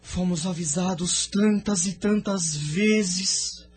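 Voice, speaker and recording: An actor playing a solemn, sorrowful seer who recounts his world's past in a reverent, drawn-out manner for an audio drama. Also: high voice, slightly rough, soft background music, exaggerated voice. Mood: solemn, regretful.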